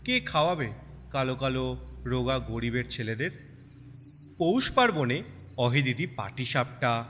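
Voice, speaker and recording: Lively bright-voiced man telling a story. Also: medium pitch. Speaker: story narrator. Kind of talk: dramatic audio storytelling narration. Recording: long reverb, background night insect noise.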